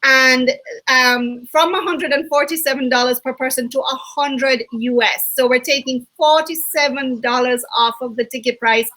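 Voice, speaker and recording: Bright, high-voiced woman host. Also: fairly even intonation, moderate pace. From Jamaica. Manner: bubbly, laughs often, self-correcting. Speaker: co-host of online summit. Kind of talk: enthusiastic webinar hosting.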